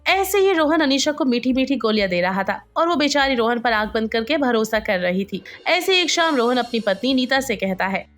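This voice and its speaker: A woman delivers scripted story narration in a high voice. Her intonation is animated and varied, and music runs softly beneath her. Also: normal speed, few pauses.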